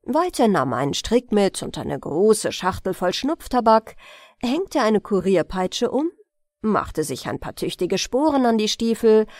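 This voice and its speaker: A woman narrating a bedtime fairy tale as an audiobook storyteller, expressive and unhurried, close to the microphone. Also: on the high side, no echo.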